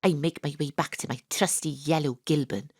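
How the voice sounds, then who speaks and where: An actress playing a wry, deadpan, sardonic female private detective in a noir audio drama. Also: low voice, moderate pace, breathy edge, no echo, clean studio sound. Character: hard-boiled wisecracking female private detective, sardonic and impatient. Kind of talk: first-person noir narration in an audio drama.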